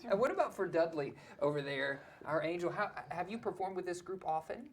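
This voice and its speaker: A woman's voice: lowish voice, lively intonation, a little fast, slight background noise. A woman co-hosting a TV interview in a chatty, upbeat, encouraging way. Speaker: TV show co-host.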